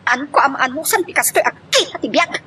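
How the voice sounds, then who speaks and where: Woman acting scornful demanding lover in a drama, super high voice that swings around, bit of noise behind. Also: lively intonation, fairly quick, pushed and bright. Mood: bitter, annoyed. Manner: nagging, confrontational. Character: scornful, bitter female lover named Monica, demanding and fiery. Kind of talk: Ilocano melodrama voice acting. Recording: faint background noise.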